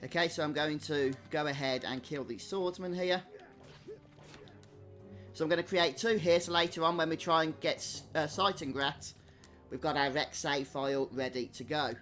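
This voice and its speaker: A male gaming streamer with a slightly high voice talks casually through his play. He chats to his viewers over faint game music. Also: fairly even intonation, normal speed, a bit bright. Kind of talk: casual live game commentary, chatting to viewers.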